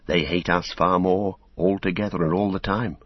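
Man voicing a low, hushed, weary gentleman hobbit. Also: big pitch swings, breathy edge, no room sound, muffled recording, heavily acted. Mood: cautious, grave. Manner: hushed, measured, grave.

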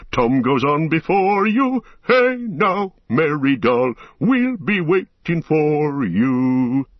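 A man's voice: high voice, husky, no room sound, muffled recording, pitched above the performer's own voice, heavily acted. A man voicing a jolly old male forest spirit, chanting in a rustic sing-song rhyme.

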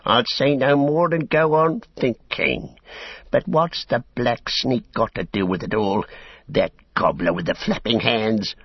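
A man's voice: medium pitch, a little slow, no echo, highs cut off, pitched above the performer's own voice, lightly acted. A man voicing a small male orc tracker in a storybook, grumbling, sneering and insolent, jeering and whining his complaints.

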